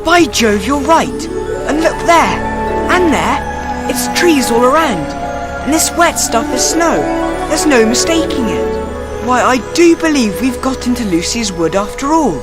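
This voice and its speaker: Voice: high voice, lively intonation, measured pace, over loud music. An actor in a radio drama playing a decisive, fair-minded schoolboy eldest brother with a British accent. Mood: amazed.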